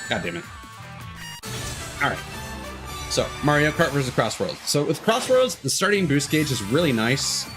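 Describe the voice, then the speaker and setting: Loud game music plays behind a man with a slightly ordinary, middle-pitched voice. He is live streaming a video game, giving casual commentary. His talk is rambling and opinionated, like someone thinking aloud to viewers. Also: sing-song, moderate pace, long pauses.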